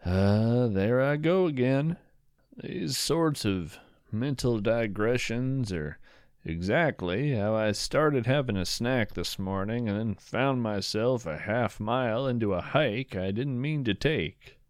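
A man's voice: mid-range voice, expressive, slow, clean tone, dry recording, studio quality. A man hosting a storytelling podcast with scripted, poetic narration, musing and savoring his words.

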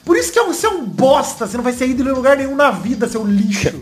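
A Brazilian man on a football podcast who swears freely, rants loudly and laughs easily. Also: very high voice, very animated, rapid-fire. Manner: swears a lot, gets loud ranting and laughs easily. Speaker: podcast panelist. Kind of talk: casual podcast chat.

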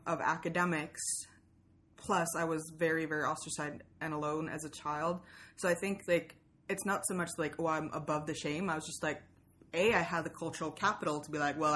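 Lowish-voiced, articulate woman from Sweden. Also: a bit bright. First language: Swedish. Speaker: podcast host being interviewed. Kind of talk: casual conversational discussion.

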